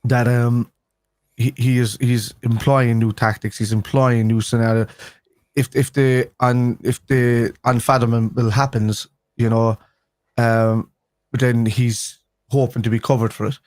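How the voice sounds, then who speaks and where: A man's voice: mid-range voice, flat delivery, a little slow, darkish tone, no room sound. A man with a Liverpool accent, co-hosting a football fan live stream, talking casually and rambling with restarts a day after having a tooth pulled. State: speaking after a tooth extraction.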